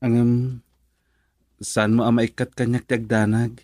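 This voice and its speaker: A man's voice: mid-range voice, level tone, normal speed, darkish tone, dry recording, studio quality, close to an everyday voice. A male actor with a Filipino accent playing an earnest young man in love, hesitant and pleading, in a romantic radio drama. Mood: pleading, worried.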